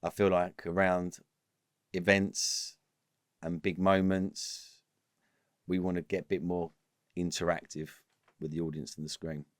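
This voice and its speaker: A low-voiced man talks business on a podcast, animated and rambling, often restarting his sentences. The sound is close and dry, with no room around it. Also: a little slow, pauses often, no room sound. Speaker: podcast guest, company executive. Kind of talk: casual business interview.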